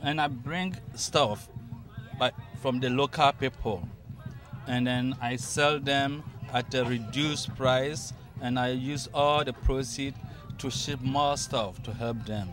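A man's voice: mid-range voice, slow pace, music in the background. A middle-aged man with a Ghanaian accent, a nurse, speaking earnestly and plainly about his charity work, interviewed outdoors on a handheld mic. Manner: earnest, plain-spoken, adds short "yeah". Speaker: nurse and nonprofit organizer. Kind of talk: festival interview. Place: outdoors at a festival stall. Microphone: handheld mic held by interviewer.

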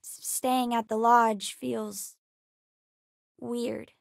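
A woman voicing a bright, bookish, earnest young girl in a video game, chatty and eager in her delivery. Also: a little high, level tone, very slow, creaky, dry recording, clean studio sound, full character voice. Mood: worried, subdued. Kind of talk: scripted video game voice lines.

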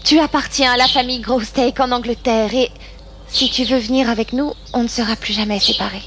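A woman's voice: fairly high pitch, steady tone, a little fast, noisy background, natural voice. A woman playing a sweet, earnest young daughter in a cartoon. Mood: pleading.

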